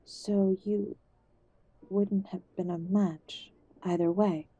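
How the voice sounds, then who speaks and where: A woman's voice: mid-range voice, level tone, takes it slowly, mellow, slightly rough, no room sound, dull audio. A woman playing a middle-aged mother in an audio drama, defensive and reproachful with her grown son. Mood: subdued. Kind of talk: audio roleplay drama acting.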